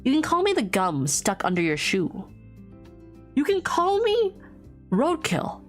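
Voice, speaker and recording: A woman's voice: high-pitched, wildly swooping pitch, unhurried, faint music behind. A young woman streaming while she draws, chatting casually with viewers in a giggly, rambling way. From Vietnamese family, born outside Vietnam. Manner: chatty and giggly, lots of restarts and fillers.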